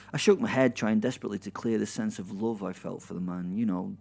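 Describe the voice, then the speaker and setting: A man playing a low-voiced, sardonic werewolf storyteller. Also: gravelly, a little mellow. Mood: wry, amused. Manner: rambling, conversational, halting for effect, repeating words. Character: brash, sardonic male werewolf recounting his violent afterlife. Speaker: narrator and main character of an audio drama. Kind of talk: first-person dramatic storytelling with wry asides.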